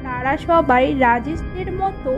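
A woman's voice: sits high, measured pace, dull audio. A woman narrating a scripted cartoon story as a storyteller. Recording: music behind the voice.

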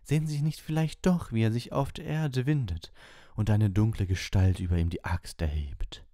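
A man voicing a dreamy, airy older female divination teacher in an audiobook, with a gentle, cooing, coaxing manner. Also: on the low side, moderate pace, no room sound, studio quality, voice pushed up, lightly acted. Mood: eager, probing.

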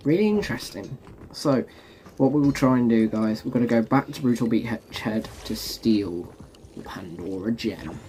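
A man's voice: medium pitch, lively intonation, moderate pace, warm tone, low-quality recording. A young man giving casual commentary over a game, chatting to viewers. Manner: chatty, addresses viewers as folks. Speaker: gaming YouTuber. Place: home room, facecam. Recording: background noise.

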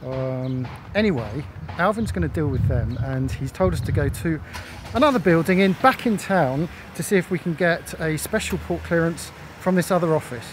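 Male vlogger, middle-aged, slightly high voice, casual narration with clear background noise. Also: expressive, normal speed. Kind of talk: casual vlog narration to camera. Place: on location: streets, car, offices. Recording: clear ambient noise, traffic and rooms.

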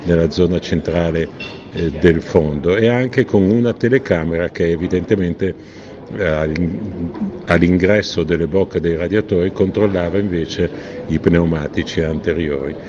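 A man giving a measured technical voice-over about racing car setup, pausing now and then to correct himself. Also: low-pitched. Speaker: technical analyst. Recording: dull lo-fi voice-over with noticeable background noise.

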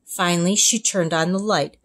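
A woman narrating a children's storybook as a kindergarten teacher, expressive, in clearly separated phrases. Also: fairly low pitch, normal speed. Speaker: kindergarten teacher reading aloud. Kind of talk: storybook read-aloud narration.

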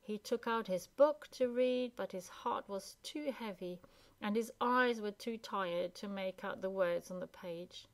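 A woman narrating a children's story as a scripted, expressive reading. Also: medium pitch, a little slow. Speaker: storybook reader.

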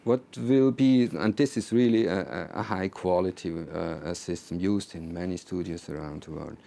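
A man in his sixties presenting technical material to a small group, phrasing deliberately with repeated words and hesitations. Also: low-pitched, creaky, mellow. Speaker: loudspeaker designer presenting. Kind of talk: technical talk to a small audience. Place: small recording studio control room with seated audience.

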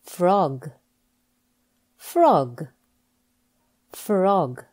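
A woman English teacher reading scripted pronunciation practice with deliberate, careful enunciation. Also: lowish voice, very animated, darkish tone. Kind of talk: scripted lesson narration, reading words for practice.

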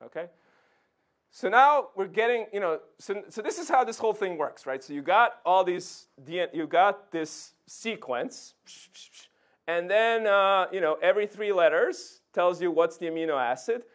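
A man's voice: sits high, expressive, normal speed, fairly bright tone. A middle-aged man from Serbia gives an informal science lecture, conversationally checking in with his listeners as he explains. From Serbia, former Yugoslavia. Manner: conversational, checks in with "okay" and "right". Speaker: physics professor giving a public lecture. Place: indoor lecture room, talking beside a projection screen.

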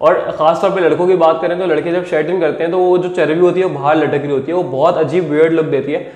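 Fast-talking young fitness trainer. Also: male voice, fairly high pitch, fairly even intonation, a little mellow. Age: young adult. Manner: earnest, repeats points for emphasis. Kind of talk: home workout vlog. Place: small bare room indoors. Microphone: lapel mic. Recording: echoey bare room.

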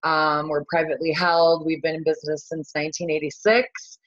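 A woman with a fairly low voice hosts a product webinar over a video call. She talks in a chatty, easygoing way, with many ums and uhs along the way. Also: level tone, a little fast, fairly bright tone, dull audio. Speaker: marketing manager presenting a webinar. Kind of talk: casual webinar presentation.

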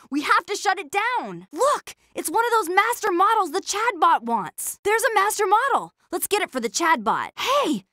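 A woman's voice: high-pitched, a bit bright, dry recording, studio quality. A woman voicing a sarcastic, feisty teenage goth eco-activist girl, a cynical heroine with emphatic delivery in a video game. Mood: determined. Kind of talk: video game character voice lines.

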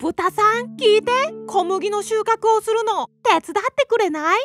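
A woman's voice: very high-pitched, normal speed, soft background music, voice pushed up. A woman voicing a hardworking, spirited female hen in a children's cartoon. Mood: eager, asking. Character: hardworking little red hen, female, eager then indignant.

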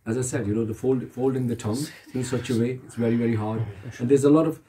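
Earnest man, dark mellow voice. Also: medium pitch, steady tone, fast talker, slight background noise. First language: Urdu. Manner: earnest, repeats phrases, asks "you understand me?". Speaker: religious elder giving advice. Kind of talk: casual chat in a gathering. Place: carpeted room in a house, seated on the floor.

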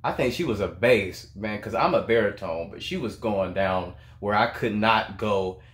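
A man's voice: on the low side, normal speed, fairly bright tone. A young man giving casual, emphatic commentary on music, often repeating words and phrases for effect. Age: young adult. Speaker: reaction video host, singer. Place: small room at home, indoors. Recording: echoey room.

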